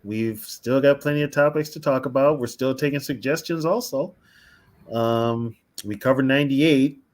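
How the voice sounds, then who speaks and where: A man's voice: mid-range voice, moderate pace. A man co-hosting a casual live stream, chatty, laughing easily and talking to the viewers in the chat. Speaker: co-host of a fan podcast.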